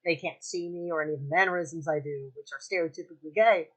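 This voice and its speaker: High-voiced young man, chatty and joking. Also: fast, fairly bright tone, poor audio. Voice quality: high-pitched, light voice. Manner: chatty and self-mocking, joking and laughing easily. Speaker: streamer. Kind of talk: casual podcast chat.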